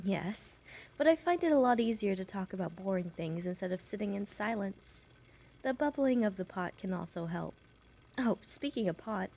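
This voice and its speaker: A woman's voice: medium pitch, a little mellow, close to an everyday voice. A woman voicing a shy, kind young witch who works as an assistant nurse, chatty and apologetic, in an audio roleplay for one patient. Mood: anxious, rambling. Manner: rambling, apologetic, nervously giggly.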